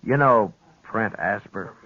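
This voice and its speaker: An actor playing a steady, weary, authoritative American frontier marshal in a 1950s radio western, measured and laconic. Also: male voice, fairly low pitch, full character voice. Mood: casual. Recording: dull lo-fi old radio recording.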